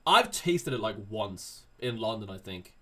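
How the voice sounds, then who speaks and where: A man's voice: lowish voice, very animated, unhurried, sharp tone. Casual man hosting a livestream. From Sweden. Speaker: livestream host answering chat questions. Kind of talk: casual livestream chat, reading and answering viewer questions.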